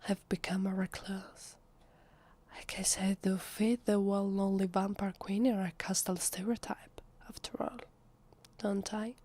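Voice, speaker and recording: A woman with an Italian accent playing a shy, lonely vampire posing as a human in an ASMR roleplay, speaking softly and hesitantly in a breathy half-whisper. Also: mid-range voice, little pitch movement, measured pace, slight background noise, natural voice. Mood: shy, wistful. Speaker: voice actress. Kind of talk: ASMR roleplay, soft intimate speech to the listener.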